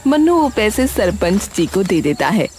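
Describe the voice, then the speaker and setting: A woman's voice: medium pitch, sing-song, normal speed, darkish tone. A woman narrating a scripted story as its storyteller.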